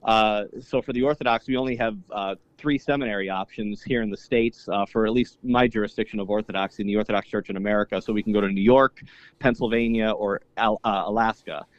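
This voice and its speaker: A man's voice: fairly low pitch, steady tone, fast, dry recording. A young man whose first language is Polish chats easygoing and rambling as a guest, his speech full of fillers and restarts. The sound is dull and lo-fi, and very dry. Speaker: guest, Orthodox priest and polka singer. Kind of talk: casual interview chat.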